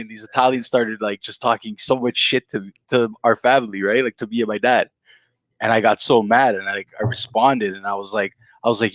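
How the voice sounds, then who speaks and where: A man's voice: mid-range voice, a bit bright, no echo, low-quality recording. A man on a podcast rambling through a family story in casual chat, with frequent restarts. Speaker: podcast co-host.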